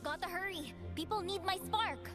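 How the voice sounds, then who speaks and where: Young female game vigilante, very high voice, spirited, cocky one-liners, music behind. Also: moderate pace. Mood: spirited, urgent.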